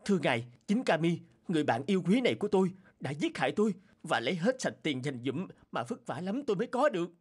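A wronged talking skeleton ghost. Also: male voice, fairly high pitch, very animated, fast talker, few pauses, slightly rough. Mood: accusing. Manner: earnest, pleading. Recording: loud music behind.

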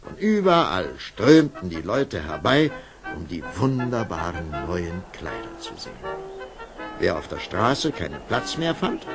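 A man narrating a scripted fairy tale as an expressive storyteller. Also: sits low, unhurried, over music.

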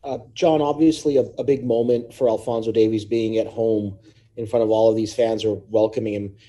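A man's voice: fairly low pitch, fairly even intonation, brisk, mellow. A man asking a question as a reporter at a press conference.

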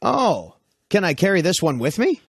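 A man with a husky voice playing airport parts in a scripted lesson dialogue. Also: high voice, big pitch swings, moderate pace, no room sound, studio quality, exaggerated voice. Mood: polite. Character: brisk official or traveller at an airport.

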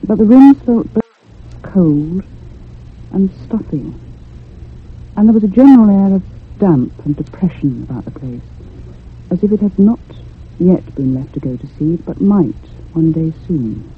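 An actress playing a depressed, lonely woman writer in her thirties, speaking in a measured, confiding in a radio drama. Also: fairly low pitch, animated, slightly rough, exaggerated voice. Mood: reflective. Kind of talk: radio drama acting and first-person narration. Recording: muffled lo-fi old radio recording.

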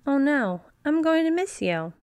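A woman voicing an excitable, tender-hearted young girl bunny in a storybook, with an expressive, earnest delivery. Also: sits high, measured pace, pitched above the performer's own voice. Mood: dismayed.

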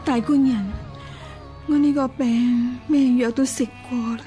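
An actress playing a frail, gravely ill concubine in a radio drama, speaking plaintively and with resignation. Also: female voice, high-pitched, steady tone, unhurried, warm tone, soft background music. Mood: weary, concerned. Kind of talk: Cantonese radio drama acting.

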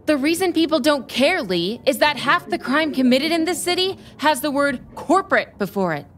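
A woman playing a pushy, driven crime reporter in an audio drama, brisk and insistent. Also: sits high, expressive. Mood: argumentative. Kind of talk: naturalistic audio drama acting.

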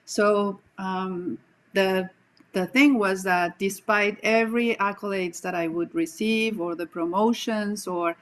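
A woman's voice: medium pitch, steady tone, poor audio. Colombian-accented woman, reflective and earnest. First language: Spanish. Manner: earnest, reflective, many "um" pauses. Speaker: guest, executive coach. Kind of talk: casual video-call interview. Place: home room with bookshelves. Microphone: video call.

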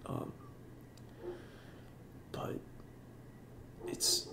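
A young man with a congested voice, talking in a halting, soft-spoken way with many fillers over game footage. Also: background noise. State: congested, sniffling. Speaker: YouTuber. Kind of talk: casual talk over gameplay.

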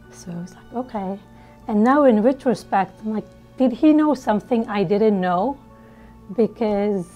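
A woman's voice: medium pitch, mellow. A middle-aged woman from Liberia, recounting her life story in a seated studio interview with a conversational manner and frequent fillers. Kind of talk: personal storytelling. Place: studio with dark backdrop.

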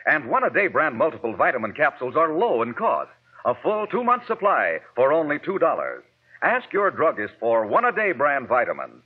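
Male American announcer for vintage radio ads, very animated delivery, tinny period recording. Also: a little high, breathy edge. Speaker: commercial announcer. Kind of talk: 1940s radio advertisement read. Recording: tinny narrow-band vintage radio broadcast.

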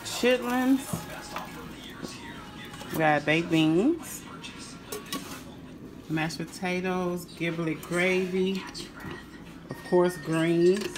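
Woman vlogger, low easygoing voice, slow unhurried tour of the spread, phone-filmed at home, music under it. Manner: relaxed, chatty, pointing out dishes one by one. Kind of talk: casual cook-with-me vlog tour. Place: home kitchen and dining room. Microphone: handheld phone camera.

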